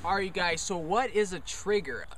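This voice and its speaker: A man's voice: high-pitched, normal speed, a bit bright, soft background music. A young man giving a casual golf lesson on the course, loose and joking, with many fillers. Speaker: golf coach.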